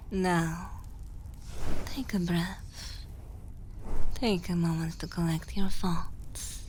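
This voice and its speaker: A woman playing a dominant, motherly evil queen in a soft ASMR roleplay, speaking formally and intimately, often in a breathy whisper. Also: on the low side, slight background noise. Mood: soothing, tender. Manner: slow, deliberate, formal phrasing, breathy whispering.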